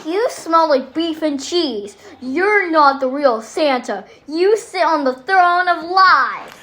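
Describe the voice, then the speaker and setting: A storyteller voicing an earnest, excitable grown man raised by elves, childlike and emphatic, in a storybook read-aloud. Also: sits high, slow, pitched above the performer's own voice, exaggerated voice. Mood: indignant accusing. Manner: exclaiming, wide-eyed, emphatic. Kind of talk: acted storybook character dialogue.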